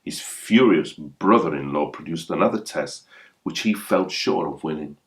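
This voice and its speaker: A man's voice: sits low, normal speed. A man reading a folktale aloud as a scripted storyteller.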